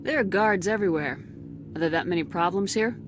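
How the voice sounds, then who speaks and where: An actress as a no-nonsense female space commander in a game. Her voice is on the low side and she talks in firm, direct lines. Music is clearly audible underneath. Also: brisk, natural voice. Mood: firm, questioning. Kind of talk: scripted video game acting.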